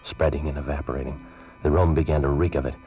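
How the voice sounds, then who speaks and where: A man narrating a tense story in the first person in 1940s radio drama style, slow and suspenseful. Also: extremely low pitch, fairly even intonation. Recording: dull old radio recording with music.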